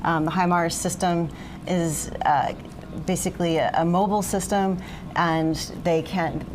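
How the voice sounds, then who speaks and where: A woman's voice: lowish voice, fairly even intonation, measured pace. A woman news correspondent asking a guest detailed, informed questions in a live broadcast. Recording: noisy outdoor broadcast.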